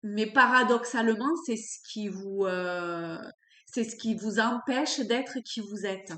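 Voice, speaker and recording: A woman with a cold doing an unscripted tarot reading, pausing often and searching for words. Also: medium pitch, fairly bright tone, roomy sound. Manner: halting, searching for words with many fillers. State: has a cold. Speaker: tarot card reader.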